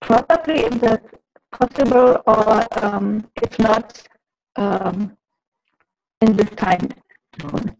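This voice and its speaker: German lady on a video call, slow and hesitant, bit of noise behind. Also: a little high, steady tone, darkish tone, poor audio. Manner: hesitant, halting. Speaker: course participant. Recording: connection cutting in and out.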